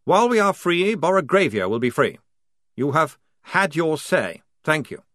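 Man doing a young army lieutenant, fussy, formal, speechmaking, higher, bouncy intonation, dry close sound. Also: very animated, normal speed, a bit bright, no echo. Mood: resolute. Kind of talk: audiobook character voice.

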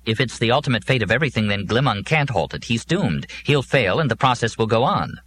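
A man's voice: medium pitch, animated, a little fast, a bit bright, a little hiss or hum, pitched above the performer's own voice, close to an everyday voice. A man playing a stubborn, anxious working man in a science fiction audiobook, questioning and defiant. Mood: skeptical, stubborn. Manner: questioning, argumentative.

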